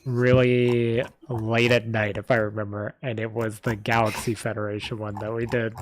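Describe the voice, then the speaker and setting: A man chatting casually as host about his audio stories, talking informally in an animated, slangy way. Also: lowish voice, normal speed. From Italian background. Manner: informal, animated talk peppered with "like", "dude" and "bro". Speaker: host, audio drama creator. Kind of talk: casual chat.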